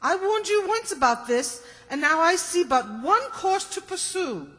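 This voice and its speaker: A woman's voice: extremely high pitch, expressive, a little slow, fairly bright tone, full character voice. A woman playing a haughty, scornful busybody matron, pompous and indignant, in an old-time radio comedy. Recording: reverberant hall stage recording.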